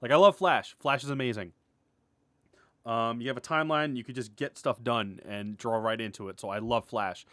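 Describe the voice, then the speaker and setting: A man with a medium-pitched voice talks off the cuff in a dry, echo-free recording. He sounds like a creator giving a candid update to viewers. His delivery is halting and informal, with sentences that break off and start again. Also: a bit bright. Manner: rambling, halting, self-interrupting, often chuckling and clearing throat; informal, mildly sweary, candid. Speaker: animator and web series creator. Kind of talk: casual update vlog, unscripted.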